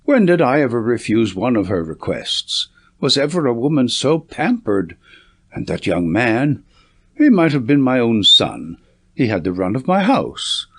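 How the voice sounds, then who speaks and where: A man's voice: medium pitch, huge pitch swings, measured pace, fairly bright tone, slightly rough, exaggerated voice. A man voicing a bitter, self-pitying old man in an audiobook, plaintive, aggrieved and rhetorical. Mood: aggrieved, lamenting. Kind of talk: audiobook character reading.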